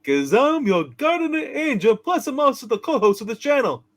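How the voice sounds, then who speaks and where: A man's voice: very high-pitched, very animated, moderate pace, exaggerated voice. A man voicing a kindly, fussy, eccentric old guardian angel in a hammed-up radio play reading, with chirpy, theatrical politeness. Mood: bright, insistent. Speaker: channel co-host.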